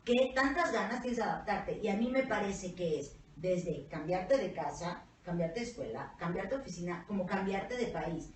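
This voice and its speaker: A Mexican woman co-hosting a casual panel chat, blunt, profane and animated, with a Mexican Spanish accent. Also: mid-range voice, normal speed, hardly any pauses, a little hiss or hum. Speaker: co-host. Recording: echoey room, mic at a distance.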